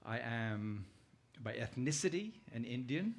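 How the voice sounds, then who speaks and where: Middle-aged man, British accent, medium-pitched voice, conference speaker, informal, conversational, anecdotal. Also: big pitch swings, unhurried, vocal fry. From United Kingdom, born in Uganda. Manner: conversational, anecdotal, puts questions to listeners. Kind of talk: informal conference presentation to a live audience.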